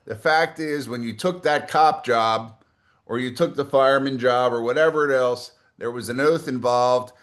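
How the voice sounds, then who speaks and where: A man with a medium-pitched voice gives a political commentary. His delivery is blunt and opinionated. He moves between prepared, formal phrasing and offhand asides, often posing rhetorical questions to the listener. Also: a bit bright. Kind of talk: opinionated political monologue, part scripted with asides.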